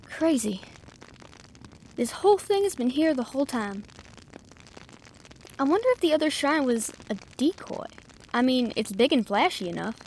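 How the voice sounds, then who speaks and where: A woman's voice: sits high, expressive, moderate pace, long pauses, darkish tone, a little hiss or hum. A woman playing a brash, brave, wry treasure hunter in an audio roleplay, bantering informally with the listener. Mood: curious, excited. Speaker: voice actor.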